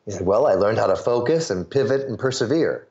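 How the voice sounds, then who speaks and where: A man's voice: lowish voice, brisk. Man telling sales stories, slightly muffled. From suburbs of Chicago, US Midwest. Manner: animated storyteller, acts out quoted voices. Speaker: guest, sales pitch coach. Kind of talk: interview answers with stories.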